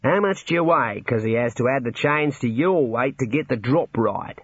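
A man voicing a breezy jailer for a storybook, husky and dry-sounding. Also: mid-range voice, expressive, normal speed, no echo, muffled recording. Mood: jovial. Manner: breezy, matter-of-fact about grim things. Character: cheerful older male prison warder, jovial, chatty.

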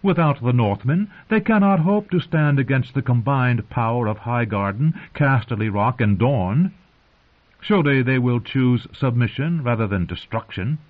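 A haughty queen mother, acted out by a man, arch and sharp-tongued under a sweet surface. The voice lifts above the narrator's own in this fantasy audiobook, and the audio is thin, like a telephone line. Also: medium pitch, expressive, moderate pace, pitched above the performer's own voice, natural voice. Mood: arguing. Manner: arch, argumentative. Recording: narrow, phone-like lo-fi audio.

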